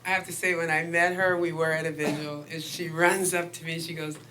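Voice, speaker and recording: A woman guest pastor with a loud voice giving a chatty sermon and prayer to a congregation, laughing often between lines. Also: low voice, little pitch movement, fairly quick, slight background noise, poor audio. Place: house of worship.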